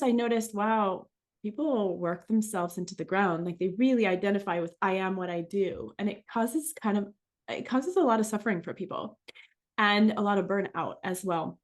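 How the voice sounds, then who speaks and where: A middle-aged woman who grew up in France and speaks French natively gives an informal talk. Her pitch sits in the middle, and she speaks in a personal, reflective way, as a researcher sharing her experience with listeners.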